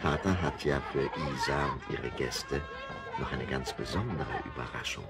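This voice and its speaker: A man's voice: very deep voice, normal speed, a loud crowd. A man narrating a children's audio drama in a cheerful storytelling manner, recorded from vinyl with music behind.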